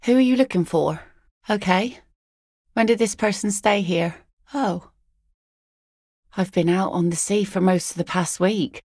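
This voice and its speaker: A woman's voice: mid-range voice, measured pace, long pauses, darkish tone, no echo, natural voice. An actress playing a weathered net picker and mother in a video game, dry and plain-spoken. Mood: dry, wry. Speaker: fisherwoman and mother.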